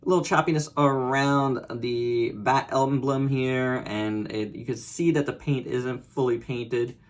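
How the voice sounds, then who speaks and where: A man hosting a casual, unscripted toy review, thinking aloud in short, halting phrases. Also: mid-range voice, fairly even intonation. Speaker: toy reviewer and channel host. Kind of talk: casual, unscripted hands-on product review commentary.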